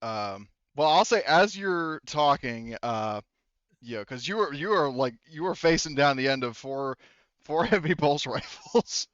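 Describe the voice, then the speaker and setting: A man's voice: fairly high pitch, animated, measured pace, fairly bright tone, smooth, no echo, muffled recording. A man running a tabletop roleplaying game as game master, narrating in a rambling, casual, improvised way. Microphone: close headset.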